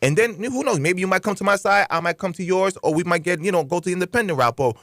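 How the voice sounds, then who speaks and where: A man chatting casually as a podcast host, animated and opinionated, with slangy phrasing. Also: sits high, quick pace, no room sound, clean studio sound. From Cuban background. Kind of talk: casual group chat. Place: community media studio.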